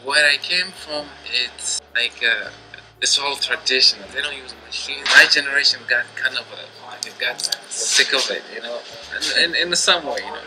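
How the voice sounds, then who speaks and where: A man from Niger telling his story in a documentary interview, speaking haltingly with many restarts, earnest and plain. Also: medium pitch, background noise.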